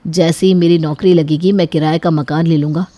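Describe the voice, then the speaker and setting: A woman acts out a worried young husband in an animated moral tale. The voice is on the low side, practical and resigned in how it explains things. It is recorded dry and close. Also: a little fast, no room sound, natural voice. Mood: firm, explaining. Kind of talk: animated Hindi moral story acting.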